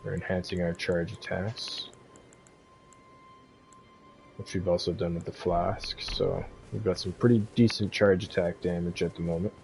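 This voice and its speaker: Deep, gravelly, laid-back man. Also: steady tone, measured pace, long pauses, darkish tone, faint music behind. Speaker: gaming guide streamer. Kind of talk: casual video game walkthrough commentary. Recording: faint game ambience behind voice. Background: faint game ambience.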